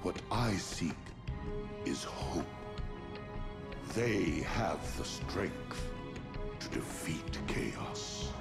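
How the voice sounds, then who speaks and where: An actor playing a solemn, brooding sorcerer and older brother in a video game, speaking formally and gravely over music. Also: male voice, rumbling low, very animated, very slow, pauses often, exaggerated voice. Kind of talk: theatrical video game cutscene acting.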